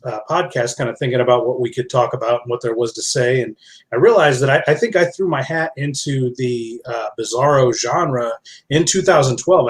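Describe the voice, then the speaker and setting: A man speaking as a podcast guest in casual, reflective conversation, telling things in a discursive, self-correcting way. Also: mid-range voice. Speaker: podcast guest, author and publisher.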